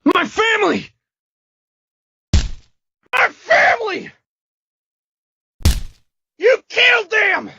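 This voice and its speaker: A man playing an intense, strained survivor in a zombie horror comic dub, his voice very raspy. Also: very high voice, big pitch swings, drawn-out words, pauses often, bright, forceful tone, no room sound, raised voice, muffled recording, exaggerated voice. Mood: enraged.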